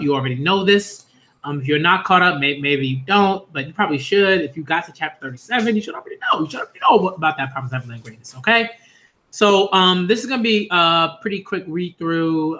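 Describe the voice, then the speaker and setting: A man hosting a casual live stream about manga, talking loosely with lots of fillers and getting excited and loud at big moments. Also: a little high. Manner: loose, rambling, heavy on fillers and "y'all"; excitable, rising into shouts at story moments. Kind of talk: casual live-stream chat and reading reactions.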